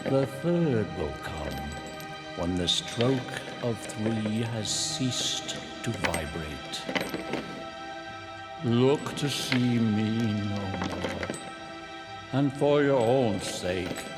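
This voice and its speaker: A grave male ghost in an audio drama, warning with solemn weight. The delivery is extremely slow and rather low. His words hang in a long cavernous reverb, with loud music around them. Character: grave, doomed ghost of a dead business partner.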